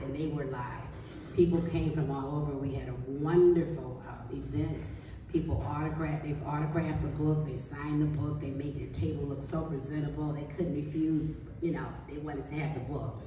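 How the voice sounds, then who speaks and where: Middle-aged female author-coach, low mellow voice, emphatic panel delivery, recorded from a distance. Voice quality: deep voice. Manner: emphatic, encouraging. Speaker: guest author and book coach. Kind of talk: motivational panel talk to an audience. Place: small indoor meeting room. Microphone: handheld mic, captured by distant camera. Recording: distant, very echoey room, faint noise.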